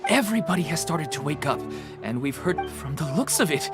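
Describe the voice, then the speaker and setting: A woman's voice: deep voice, expressive, fairly quick, over music. A woman playing a friendly, eager townswoman reporting news in a video game. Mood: relieved.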